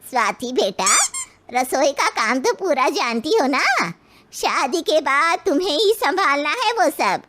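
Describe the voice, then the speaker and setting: Woman playing a demanding middle-aged cartoon mother-in-law, condescending, very high pitched. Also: very animated, measured pace, background noise. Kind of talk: cartoon story dialogue.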